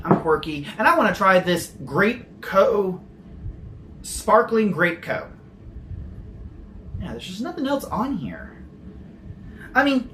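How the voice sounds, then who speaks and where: A man's voice: sits high, a bit bright, slight background noise. A man casually reviewing sodas on his channel, chatty and prone to rambling tangents, with the odd swear word and no regional accent. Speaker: soda reviewer.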